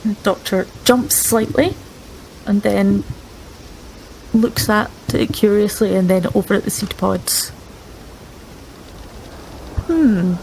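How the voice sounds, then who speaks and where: A woman's voice: mid-range voice, takes it slowly, long pauses, darkish tone, a little hiss or hum, rough recording. A woman playing a tabletop game, casually describing what her character does.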